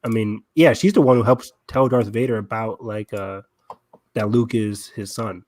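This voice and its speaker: A man's voice: on the low side, normal speed, darkish tone, no room sound, clean studio sound. A man co-hosting a podcast in casual, gushing fan discussion, speaking with open enthusiasm. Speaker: podcast co-host.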